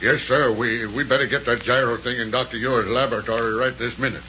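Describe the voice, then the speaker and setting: A dull, hissy old radio recording of a man acting a bumbling rocket pilot with a bright, forceful voice. He stammers in folksy, ungrammatical speech, excitable but good-hearted, in 1930s serial style. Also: medium pitch, a little fast, close to an everyday voice. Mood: flustered.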